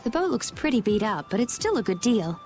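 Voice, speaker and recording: A woman's voice: on the high side, very animated, fairly quick, darkish tone, faint music behind. Upbeat young adventurer girl. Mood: optimistic. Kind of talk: video game dub acting.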